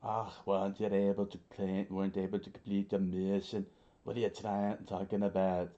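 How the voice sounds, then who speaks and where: A man's voice: deep voice, flat delivery, moderate pace, dark tone, dull audio, close to an everyday voice. Man putting on a stern Scottish crime boss. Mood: irritated. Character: stern male crime boss, menacing and irritated.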